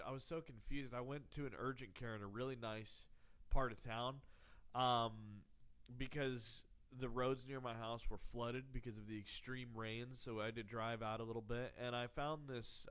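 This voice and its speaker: A man's voice: medium pitch, fairly bright tone, clean tone. A man hosting his podcast in a rambling, profane, sarcastic monologue, his voice nasal and congested from illness. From Texas. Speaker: podcast host. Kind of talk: casual comedic monologue.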